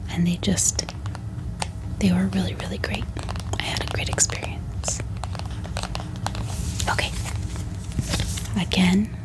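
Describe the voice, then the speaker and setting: An American woman speaking in a soft, gentle ASMR style as she presents books in a calming, unhurried manner. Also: medium pitch, poor audio. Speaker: ASMR book reviewer and host. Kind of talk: soft-spoken whispered ASMR haul.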